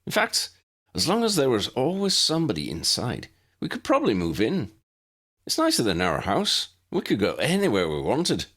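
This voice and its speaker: Man putting on a lilting, lively young Irishman. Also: mid-range voice, moderate pace, pitched above the performer's own voice. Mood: wistful. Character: friendly, chatty young Irish wizard host.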